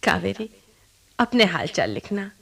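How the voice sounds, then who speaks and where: A woman's voice: mid-range voice, sing-song, unhurried, creaky, fairly bright tone, heavily acted. A woman playing an affectionate young newlywed bride in a radio play, with a teasing, giggly, coaxing way of talking. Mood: fond, chatty. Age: young adult. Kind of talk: Hindi radio play acting.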